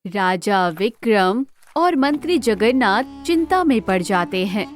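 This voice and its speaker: A woman's voice: medium pitch, big pitch swings, takes it slowly, soft background music. A woman narrating a scripted storybook tale.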